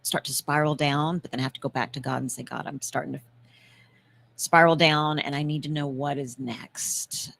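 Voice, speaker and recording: A woman's voice: sits low, steady tone, normal speed, vocal fry, a bit bright. A woman in her mid-fifties hosting a talk show, speaking earnestly and reflectively about purpose and faith. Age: 50s. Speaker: host.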